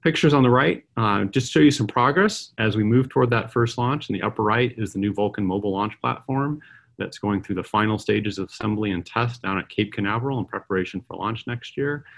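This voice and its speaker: A middle-aged man giving a conference keynote as an aerospace executive, speaking in a measured way with frequent uh and um pauses. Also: on the low side, creaky.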